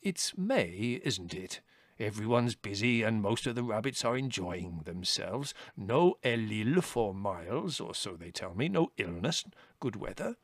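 A man's voice: on the low side, very animated, a little slow, dry recording, full character voice. Man acting an urbane old chief rabbit, swooping drawl. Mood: politely dismissive. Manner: drawling, over-polite, unruffled, with musing repetitions. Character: aging male chief rabbit, urbane, detached, condescendingly polite.

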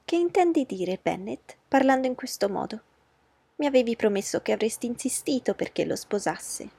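A woman voicing an agitated middle-aged mother who talks high and fast in alarm. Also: expressive, creaky, dark tone, husky, no echo, full character voice. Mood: shrill. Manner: high-pitched, agitated, pleading.

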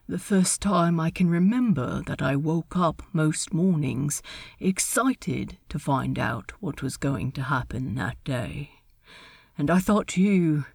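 A woman's voice: creaky, darkish tone, husky, dry recording, studio quality, heavily acted. A woman voicing a blunt, laid-back pirate man with a sincere side in a story reading. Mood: quiet, sincere. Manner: casual, blunt, low-key.

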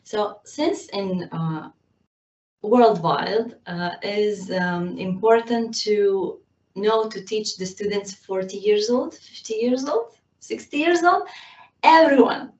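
A woman's voice: medium pitch, slow, darkish tone, far from the mic, highs cut off. Hesitant woman in an echoey room. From Serbia. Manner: hesitant, many fillers, laughs often. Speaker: violin teacher. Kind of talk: casual interview answers.

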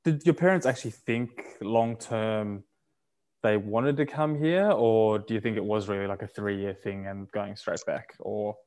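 A man's voice: lowish voice, unhurried, a little mellow. Rambling male interview host. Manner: rambling, loose questions full of fillers. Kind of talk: casual interview chat.

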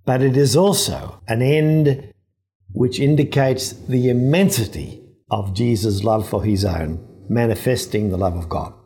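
A man, a priest, giving a measured, reflective commentary on scripture, with phrases that trail off quietly. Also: medium pitch.

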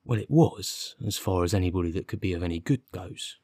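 Man putting on a defensive, slow-witted working-class constable. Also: low-pitched, warm tone, no echo, clean studio sound. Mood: amused. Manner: colloquial, ungrammatical rustic speech. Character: working-class male police constable, defensive, dim, aggrieved. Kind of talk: audiobook character dialogue.

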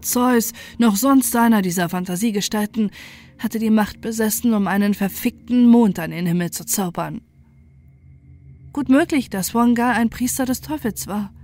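A woman narrating a scripted first-person horror story with deliberate, dramatic phrasing. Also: mid-range voice, a little mellow. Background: music.

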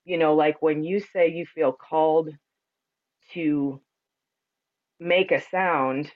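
Deep, resonant woman's voice, slightly dull recording. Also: fairly even intonation, slow. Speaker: podcast guest, spiritual sound and ceremony practitioner. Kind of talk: earnest conversational interview talk.

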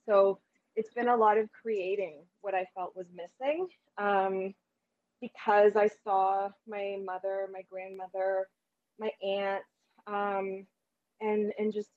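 A woman's voice: medium pitch, flat delivery, narrow, tinny audio. A woman who grew up in Canada and first spoke Spanish, talking earnestly and reflectively in a casual group chat. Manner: earnest, reflective, with pauses and fillers. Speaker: panel speaker.

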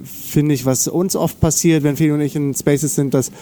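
A man hosting a podcast, interviewing in a relaxed, slangy, upbeat way. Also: fairly high pitch, moderate pace. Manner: upbeat, laid-back, slangy. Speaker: podcast host. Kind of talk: casual interview chat. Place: outdoors at an evening camp.

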